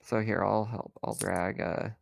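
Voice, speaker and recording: A man with a lowish, creaky voice runs a tabletop roleplaying game. He talks informally and explains as he goes, in a close, dry sound with very little room. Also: fairly even intonation, unhurried, dry recording. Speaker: game master.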